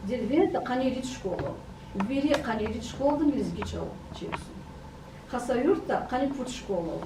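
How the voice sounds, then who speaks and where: A woman's voice: fairly high pitch, expressive, background noise. Distant woman speaking in a roomy hall. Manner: halting, many fillers and restarts. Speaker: education researcher. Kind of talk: round-table report. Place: meeting room.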